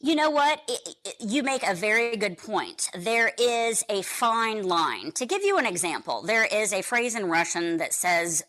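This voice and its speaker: Guest: female correspondent, animated storyteller, very expressive pitch, laughs a lot. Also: measured pace, few pauses. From United States. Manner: lively, laughs often, acts out quotes.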